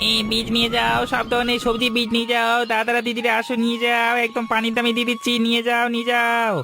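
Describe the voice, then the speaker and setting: An actor playing an eager market seed hawker in a cartoon, calling out repetitive sales patter. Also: male voice, very high-pitched, monotone, fast, gravelly, over music. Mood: eager hawking. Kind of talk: cartoon street-vendor calls.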